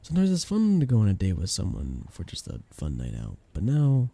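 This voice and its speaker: A young man acting a flustered best friend with a hidden crush in an intimate ASMR romance roleplay, soft-spoken, casual and hesitant. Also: lowish voice, huge pitch swings, a little fast, creaky, full character voice. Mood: weary, candid.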